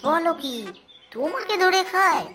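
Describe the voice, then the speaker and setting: A man's voice: extremely high pitch, lively intonation, unhurried, over music. A man voicing a boastful, mocking know-it-all animal in a children's fairy-tale cartoon. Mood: scornful.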